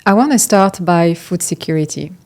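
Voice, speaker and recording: A woman's voice: on the low side, normal speed, darkish tone. A woman moderating a panel discussion, attentive and affirming, with constant encouraging murmurs. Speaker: host, moderator.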